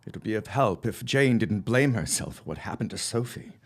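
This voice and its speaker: Man playing bereaved father, guilt-ridden, doubtful, low voice, very animated intonation, period audio drama. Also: fairly quick, creaky. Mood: grieving, subdued. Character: grieving, guilt-ridden father, early 20th century, torn and doubtful.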